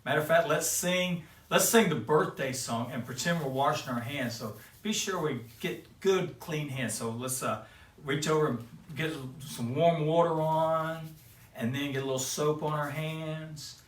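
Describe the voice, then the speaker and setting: A man's voice: a little high, measured pace, recorded from a distance. Cheerful man reading to kids, echoey room. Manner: cheerful, sing-song, talks directly to children. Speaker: children's storyteller and host. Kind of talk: reading a picture book aloud to kids. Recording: echoey room, away from the mic.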